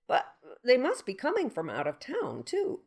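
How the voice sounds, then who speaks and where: A woman's voice: fairly high pitch, wildly swooping pitch, normal speed, gravelly, darkish tone, exaggerated voice. A woman playing a dotty remedy seller in a comic audio sketch, musing with exaggerated puzzlement. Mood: musing, suspicious.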